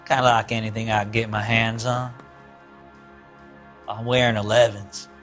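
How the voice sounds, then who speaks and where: An actor playing a sly, unrepentant drifter and ex-convict in a video game, with a rural American accent and an evasive, folksy, drawling way of talking. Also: male voice, on the low side, level tone, moderate pace, a bit bright, faint music behind, close to an everyday voice. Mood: defensive. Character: sly unrepentant small ex-convict drifter suspect, farm boy. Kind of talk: video game interrogation acting.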